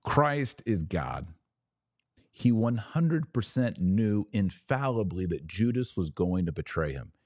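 A man with a very low, mellow voice speaks as a podcast host giving religious commentary. He is didactic and emphatic, setting out his phrases deliberately. The sound is dry and close, with little room to it. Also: very animated, no room sound.

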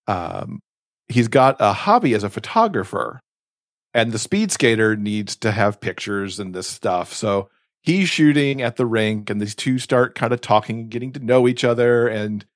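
A man's voice: medium pitch, no room sound, clean studio sound. A man chatting casually and enthusiastically about books as a podcast co-host. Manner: enthusiastic, gushing. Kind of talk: casual book chat.

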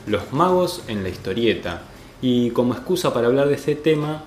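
A man's voice: mid-range voice, big pitch swings, normal speed, a little hiss or hum. A man with an Argentine accent presenting a podcast and chatting as its host. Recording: roomy echo, faint music behind.

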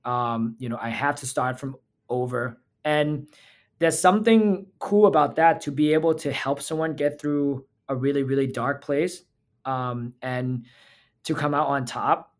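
A man's voice: mid-range voice, unhurried. A man from Thailand, a physical therapist talking as a podcast guest in an earnest, reflective way. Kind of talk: casual interview talk.

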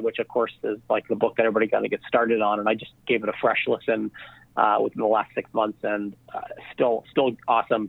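A man's voice: mid-range voice, gravelly, no room sound. A man guest on a podcast interview, talking casually over the phone with lots of fillers and restarts. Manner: rambling, many fillers and restarts. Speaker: podcast guest, real estate investor. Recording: tinny phone line.

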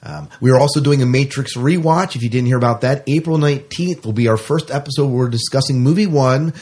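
A man's voice: medium pitch, brisk, darkish tone. A man chatting casually as a podcast co-host, rambling along and often repeating his words and phrases.

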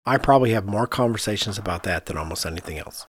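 A man's voice: lowish voice, animated, fast, slightly rough, dry recording, clean studio sound. A man with an American accent co-hosting a casual discussion, explaining things and thinking aloud as he goes. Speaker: podcast co-host and architect. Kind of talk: casual conversational discussion of architecture.

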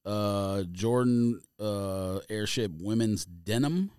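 A man's voice: fairly low pitch, takes it slowly, a little mellow, clean tone, no room sound, clean studio sound. An American man co-hosting a sneaker podcast, chatting casually and offhand in slangy language as he comments. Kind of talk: casual chat, reading out a list and commenting.

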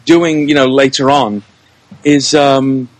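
A man's voice: mid-range voice, level tone, takes it slowly, poor audio. A man speaking as a guest in a casual podcast interview, effusive and often repeating words as he gathers his phrasing. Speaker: interview guest, producer, director and actor.

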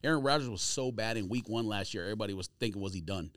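A man's voice: on the low side, rapid-fire, fairly bright tone, clean tone, no room sound. An American man co-hosting a sports podcast, giving blunt, opinionated picks in casual, slangy talk.

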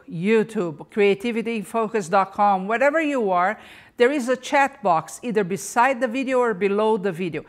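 Woman, podcast host, eager, chatty, somewhat high-pitched. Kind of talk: live podcast interview.

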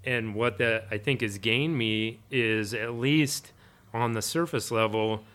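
A man's voice: medium pitch, takes it slowly, a bit bright. A man in a casual interview, a firefighter speaking reflectively, rambling with fillers and restarts. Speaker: firefighter guest.

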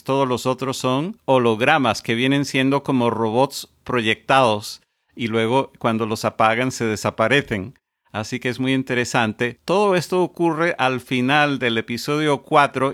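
A man hosting a podcast panel, speaking in a measured, explanatory way. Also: mid-range voice, a bit bright, no room sound, clean studio sound. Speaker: podcast host.

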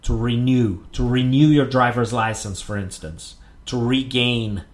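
Slow-talking man teaching English words. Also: mid-range voice. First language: Portuguese. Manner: unhurried explaining, stretches example words, many fillers. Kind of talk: solo podcast lesson.

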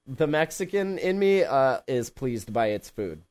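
A man hosting a casual tech podcast, joking around and laughing often between quick remarks. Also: medium pitch, very animated, darkish tone, smooth. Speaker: podcast host.

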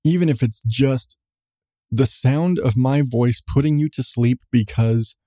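A middle-aged man hosting his podcast with casual, profane rambling and frequent laughs in a calm voice. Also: mid-range voice, measured pace, no room sound, clean studio sound. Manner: profane, candid, laughs often. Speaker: podcast host. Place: seated at home on a creaky chair.

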